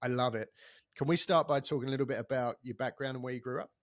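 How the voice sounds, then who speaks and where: A man's voice: mid-range voice, fast, fairly bright tone, dry recording, clean studio sound. A man hosting a podcast, chatty and enthusiastic, talking casually with many restarts. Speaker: podcast host.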